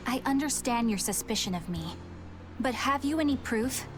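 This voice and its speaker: A woman's voice: on the high side, lively intonation, moderate pace, noisy background, lightly acted. An actress playing a prim, sincere young woman exorcist in a video game, polite and formal. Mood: defiant, formal.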